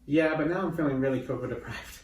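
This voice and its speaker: A man's voice: on the high side, quick pace, darkish tone. A man co-hosts with playful, casual banter. He sits back from the microphone in a roomy space, and a faint noise runs behind his voice. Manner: playful, joking. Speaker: co-host and author. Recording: roomy, distant mic, faint background noise.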